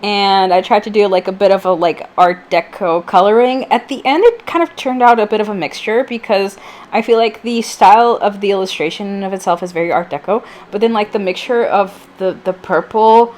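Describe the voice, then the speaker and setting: A woman with a mid-pitched voice that sounds a little off from allergies. She narrates a craft vlog in an informal, chatty way, wandering through her thoughts with plenty of fillers and gentle self-deprecation. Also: normal speed. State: slightly off voice from allergies. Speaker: vlogger, channel host. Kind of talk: casual chatty voice-over for a craft video.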